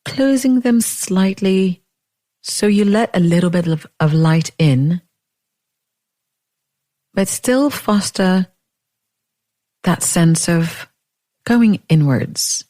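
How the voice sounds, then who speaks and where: A woman leading a guided meditation in a gentle, unhurried way, leaving long pauses between phrases. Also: on the low side, expressive.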